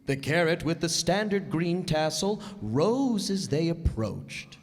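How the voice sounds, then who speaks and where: Slow, high-voiced man as storybook narrator. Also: expressive. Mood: measured, whimsical. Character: storybook narrator of a whimsical space tale. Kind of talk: scripted radio play narration. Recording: live stage performance in echoey hall.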